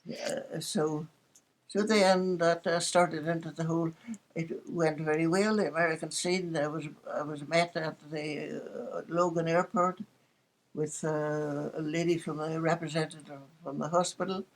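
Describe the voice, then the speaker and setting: A woman's voice: low-pitched, fairly even intonation, a little slow, low-quality recording. Elderly Northern Irish woman telling life stories. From Northern Ireland. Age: 80s. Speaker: interviewee recalling her life. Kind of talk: casual reminiscence, storytelling.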